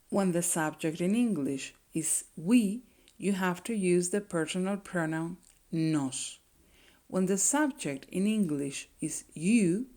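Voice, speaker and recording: Woman, unhurried teaching pace, gravelly fry in the voice, language teacher. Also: fairly low pitch, a little mellow. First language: Spanish. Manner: slow and deliberate, checks in with "okay?". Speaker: Spanish teacher. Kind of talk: language lesson.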